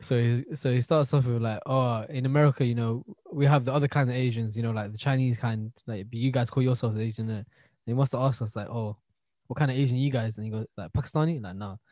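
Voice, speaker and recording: A man's voice: medium pitch, fairly even intonation, fast, mellow, no room sound. A man in his twenties with a London accent, chatting and telling stories in slangy speech as a podcast co-host. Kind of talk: casual chat, storytelling. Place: indoors, remote video call.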